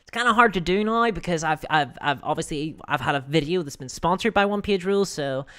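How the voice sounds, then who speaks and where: A livestream host with a hoarse voice, chatting casually and self-deprecatingly about tabletop games and answering viewers. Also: medium pitch, lively intonation, brisk, a bit bright. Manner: giggly, laughs often while talking.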